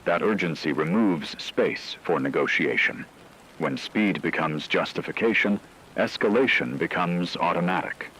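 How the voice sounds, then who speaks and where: A grave radio drama news anchor, performed by a man, with a deep, low voice. He delivers scripted emergency bulletins in measured, solemn phrases. A faint noisy wash like rain sits behind him. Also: a bit bright.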